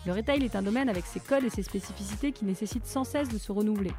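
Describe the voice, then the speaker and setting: Brisk woman podcast host. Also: medium pitch, a little mellow, faint music behind. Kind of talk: scripted intro, then interviewing.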